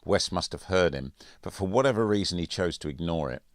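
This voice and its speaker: Very low-voiced man reading his story. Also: expressive, a little fast, no echo, studio quality. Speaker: author reading own audiobook. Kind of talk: scripted first-person literary narration.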